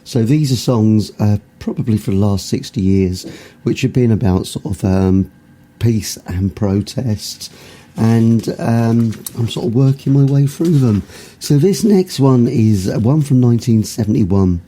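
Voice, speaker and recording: Very dark-voiced radio host with a sore throat. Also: male voice, on the low side, lively intonation, measured pace. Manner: rambling, filler-heavy, "sort of" habit. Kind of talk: casual radio presenting between songs.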